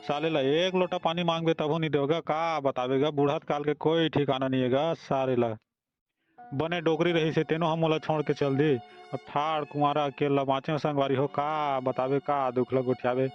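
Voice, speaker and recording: A man's voice: on the high side, flat delivery, normal speed, dull audio, natural voice. A man voicing a grumbling but affectionate old village grandfather in a comic cartoon, with a Chhattisgarhi accent and a rambling, folksy way of talking. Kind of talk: comic dialogue in an amateur animated cartoon. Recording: music behind the dialogue.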